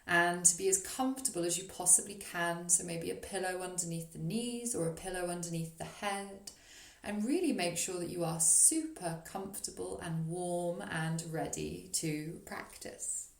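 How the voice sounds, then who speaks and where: A woman leading a guided meditation as a yoga nidra teacher, speaking in a soothing, gentle, unhurried way with long pauses. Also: fairly low pitch, steady tone, gravelly. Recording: roomy echo on the voice.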